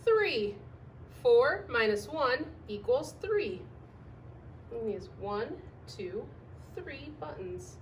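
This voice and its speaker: High-pitched, very animated woman reading a storybook. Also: takes it slowly, pauses often. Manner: expressive, sing-song, emphatic, asking questions of young listeners. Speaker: teacher reading a picture book aloud to young children. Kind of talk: storybook read-aloud with sung refrains. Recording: distant microphone with faint background noise.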